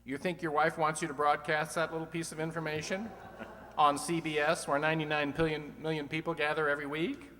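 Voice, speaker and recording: A man's voice: on the high side, flat delivery, a little fast, a bit bright, lightly acted. A man playing a sly, teasing host of an old-time radio quiz show, full of dry wisecracks. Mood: wry. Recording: long hall reverb.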